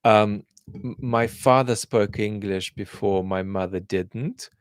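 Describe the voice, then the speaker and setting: A man whose first language is Russian, hosting a casual live Q&A and thinking aloud in a hesitant, reflective way with frequent pauses and restarts. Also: on the low side, fairly even intonation, clear, resonant tone, no echo, clean studio sound. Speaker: host reading viewer questions.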